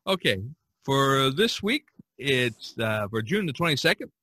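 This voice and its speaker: A man hosting a podcast in a casual chat, speaking in a halting, folksy and encouraging way. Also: medium pitch, sing-song, pushed and bright, no room sound. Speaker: podcast host.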